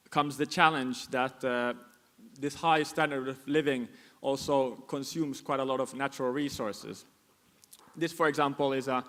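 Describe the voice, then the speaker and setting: A man's voice: mid-range voice, a bit bright. A man from Finland giving a conference presentation, with frequent fillers and repeated words. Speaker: conference speaker. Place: conference hall. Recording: echoey hall.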